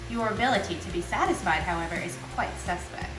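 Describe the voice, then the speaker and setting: A woman's voice: fairly low pitch, expressive, fast talker, lightly acted. A woman playing a wry, doubtful, lofty lady in a stagy poetry performance, speaking with formal wit. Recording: echoey room, music behind.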